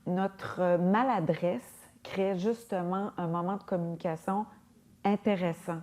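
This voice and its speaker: Panel guest, native French-speaking woman, warm mellow tone, casual and halting. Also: lowish voice, steady tone. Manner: casual, hesitant, repeats words as she gathers her thoughts. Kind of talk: conversational answer on a panel.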